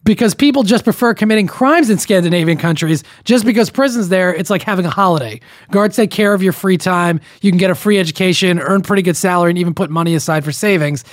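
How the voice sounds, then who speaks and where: A man's voice: high-pitched, fast talker, few pauses, no room sound, clean studio sound. Man reading listener emails on a podcast. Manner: reads emails aloud with running commentary, frequent restarts. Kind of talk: casual podcast chat.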